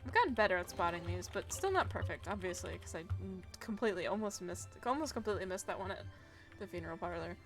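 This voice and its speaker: A woman's voice: fairly low pitch, lively intonation, fast talker. A woman game streamer giving casual, chatty gameplay commentary with informal, rambling asides. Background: video game music.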